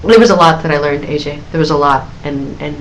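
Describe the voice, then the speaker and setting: A woman in her thirties answers viewers' questions on a live stream, chatty and giggly, with plenty of fillers and restarts. Her voice sits low. A faint hiss runs underneath. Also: quick pace, rough recording. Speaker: singer hosting a live Q&A stream.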